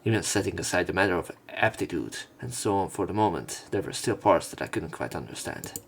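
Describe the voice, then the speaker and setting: Low-voiced man reading a visual novel aloud. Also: lively intonation, fairly quick, creaky, a little hiss or hum. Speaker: let's player narrating and commenting on a visual novel.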